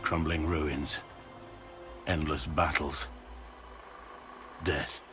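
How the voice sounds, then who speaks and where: Here is a brooding, middle-aged game warrior weighed down by guilt. His voice sits deep and moves slowly. He is grave, courteous and formal, halting as he searches for words. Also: fairly even intonation, music in the background, full character voice. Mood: somber, reflective. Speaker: video game companion character. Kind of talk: dramatic video game dialogue.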